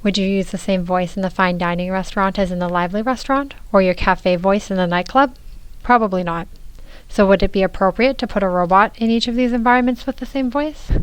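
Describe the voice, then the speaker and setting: A woman's voice: medium pitch, a little fast, a little hiss or hum, poor audio. Young woman presenting a research demo. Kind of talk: scripted narration.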